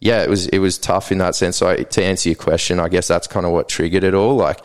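A man's voice: deep voice, fast, smooth. A man in his thirties from South Africa, native Afrikaans speaker, talking casually and rambling as a podcast guest. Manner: relaxed, rambling, heavy on fillers like "like" and "sorta". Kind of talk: casual conversational interview.

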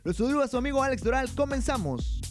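A man's voice: very high-pitched, lively intonation, quick pace, soft background music. A young man with a Mexican accent presenting and narrating a scripted radio drama. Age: young adult.

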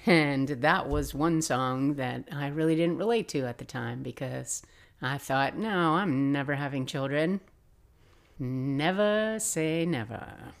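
A woman in her sixties with a low-pitched voice hosts a storytelling podcast. She reads her personal memoir expressively, with a reflective turn and wry asides. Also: takes it slowly. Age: 60s.

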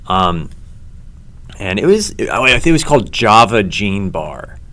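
Deep-voiced man rambling through stories. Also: very animated, a bit bright. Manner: rambling, halting with many ums and restarts. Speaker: comedy show host. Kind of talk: casual podcast chat.

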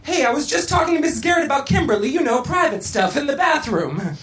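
A woman's voice: a little high, big pitch swings, moderate pace, a bit bright. A woman voicing a nervous, earnest teenage boy who blurts things out and tries to sound grown-up, in a comic story reading.

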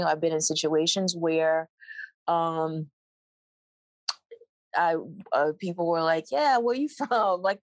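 A woman guest with a fairly low voice, recorded close and dry. She tells her stories in an animated, wry way, with laughs running through them. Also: dry recording. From Mississippi, USA. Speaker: actor and guest. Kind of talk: storytelling in an interview.

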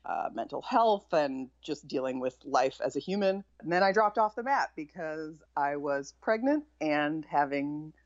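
A woman with a low voice, a chef and author, talking candidly and without much pep as a guest in a casual interview. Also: lively intonation, measured pace, few pauses, dry recording. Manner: low-key, not chipper, candid. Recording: dull lo-fi sound.

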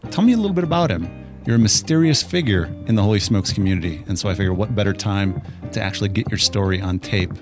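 A man hosting a podcast, opening the show and asking his guest questions in an upbeat, conversational way. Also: fairly low pitch. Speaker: podcast host. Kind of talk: podcast interview.

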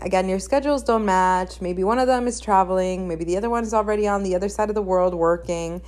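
Chatty, candid young woman podcaster. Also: medium pitch. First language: Spanish. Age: young adult. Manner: chatty, candid, emphatic with repetition. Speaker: podcast host. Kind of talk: casual solo podcast chat.

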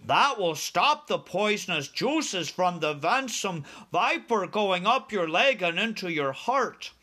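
A man voicing a kindly, crafty giant with deliberate, commanding delivery and quaint muddled grammar in a storybook. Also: sits high, expressive, pushed and bright, voice pushed up, heavily acted. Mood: urgent, commanding.

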